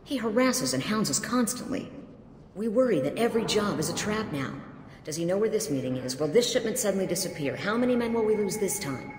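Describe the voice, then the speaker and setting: An actress playing a weary mercenary officer who speaks frankly and resentfully. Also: female voice, medium pitch, lively intonation, fairly quick, noisy background, natural voice. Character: female mercenary lieutenant, bitter, weary. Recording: echoey game mix.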